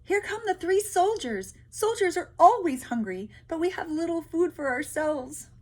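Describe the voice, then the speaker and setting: A woman voicing fearful, stingy peasants in a storybook read-aloud, exclaiming loudly. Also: very high voice, animated, moderate pace, voice pushed up. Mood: alarmed. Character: fearful, stingy peasants refusing soldiers food.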